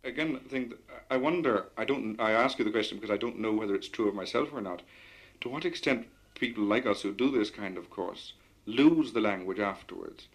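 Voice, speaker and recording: A man with a fairly low voice. He talks in a measured, articulate way and puts careful questions to his guest in a radio discussion. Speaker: interviewer.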